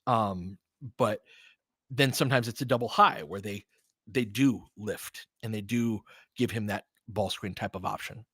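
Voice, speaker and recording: A man's voice: fairly low pitch, a little slow, a bit bright, breathy edge, dry recording, studio quality. A man hosting live basketball commentary as a partisan fan, mixing clipped play calls with conversational tactical breakdowns. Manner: partisan fan calling plays in short clipped phrases, explaining conversationally.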